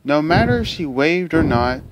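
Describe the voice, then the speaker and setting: A man's voice: fairly high pitch, moderate pace, very noisy. A man narrating a children's train story for a fan audio drama. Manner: animated, gentle storytelling. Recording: loud background music.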